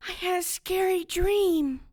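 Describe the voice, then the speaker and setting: A woman playing a frightened, insistent little orphan girl in an audio drama, childlike, earnest and halting. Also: very high voice, darkish tone. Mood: scared.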